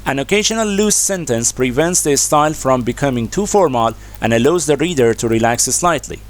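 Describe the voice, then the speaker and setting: A man reading academic practice passages aloud in a measured, formal, scripted way. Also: mid-range voice, expressive. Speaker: narrator of exam practice readings.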